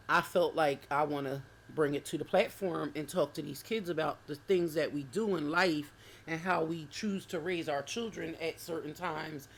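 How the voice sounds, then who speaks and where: A woman in her forties co-hosting a casual chat, speaking earnestly and quoting past conversations. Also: deep voice. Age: 40s. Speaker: co-host.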